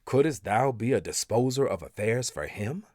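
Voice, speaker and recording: A man reads an English translation of scripture aloud as narrator, in a solemn, measured manner with dramatic emphasis. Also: medium pitch, expressive, a little mellow, no echo, studio quality. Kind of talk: expressive scripture recitation in English.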